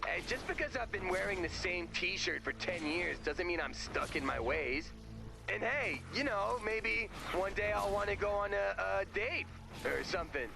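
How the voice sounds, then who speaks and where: A man's voice: sits high, lively intonation, moderate pace, vocal fry, rough recording. A man voicing a scruffy, defensive slacker in a video game, casual and hesitant. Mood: defensive, sheepish. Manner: casual, hesitant, self-justifying. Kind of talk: video game character voice acting. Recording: music behind the voice.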